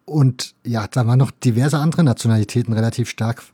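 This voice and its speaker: A man's voice: medium pitch, a little fast, dark tone, slightly rough, no room sound. A man hosting a podcast, asking questions in a conversational interview style.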